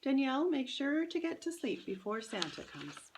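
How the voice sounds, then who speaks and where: Storybook mother read by a woman: affectionate, hurried, brisk, raised higher and louder as she calls to the kids. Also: big pitch swings, slight background noise. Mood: affectionate, calling out. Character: busy, affectionate mother hurrying her daughters. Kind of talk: children's storybook character voice.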